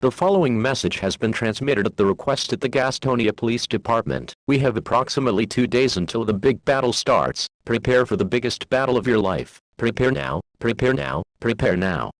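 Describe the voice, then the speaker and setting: A man announces a scripted emergency alert in the formal wording of a public broadcast. His voice is of average pitch for a man. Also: animated, brisk, long pauses, no echo.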